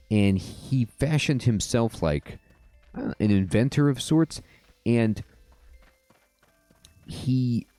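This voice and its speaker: A man hosting a casual let's-play, telling stories in a rambling, drawn-out way with wry asides. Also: on the low side, dark tone, no echo. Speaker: gaming host.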